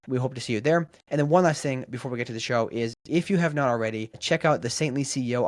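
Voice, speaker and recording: A man hosting a podcast, reading a brisk, upbeat intro and then chatting with his guest. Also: mid-range voice, dry recording, studio quality. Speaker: podcast host.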